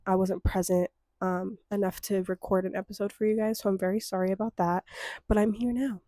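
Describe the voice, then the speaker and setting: A woman's voice: medium pitch, level tone, a little fast, warm tone, dry recording, studio quality. A young woman hosting her own podcast, talking in a loose, rambling way with lots of ums and likes, her voice a bit stuffy from a cold with a lingering cough. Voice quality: slightly congested. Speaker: podcast host. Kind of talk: casual solo podcast chat.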